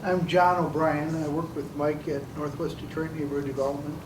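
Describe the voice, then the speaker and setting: A man informally introducing himself and his work to a group, speaking a little haltingly. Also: on the high side, level tone. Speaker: community organization worker, workshop participant. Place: large echoey room, mic at a distance. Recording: echoey room, distant mic, clear background noise.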